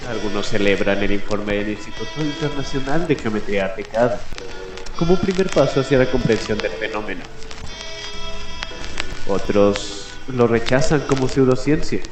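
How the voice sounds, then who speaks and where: A man playing a solemn late-night host of a mysterious radio station, narrating strange news in a deliberate, dramatic way with halting pauses. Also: fairly low pitch, natural voice. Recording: echoey room with music. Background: music.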